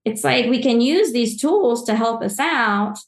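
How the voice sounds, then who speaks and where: A woman's voice: a little high, lively intonation, a little slow. Upbeat immigrant woman from Poland, roomy echo. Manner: enthusiastic, rambling with restarts. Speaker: social media agency guest. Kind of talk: podcast interview answers.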